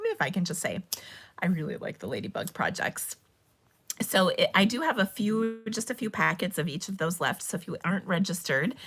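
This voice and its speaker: Woman, live-stream host, chatty, bubbly, slightly hoarse, bright tone. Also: fairly low pitch. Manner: chatty and bubbly, with frequent ums and laughs. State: losing her voice. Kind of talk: casual live-stream chat.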